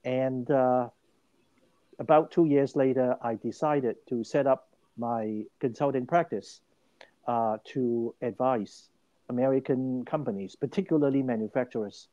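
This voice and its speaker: An older man from Hong Kong tells his story at length, with many restarts, and he grows more animated as he goes. His voice is mellow and dark, recorded dry with a slightly muffled sound. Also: medium pitch, unhurried, no room sound. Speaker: guest. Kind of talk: interview storytelling.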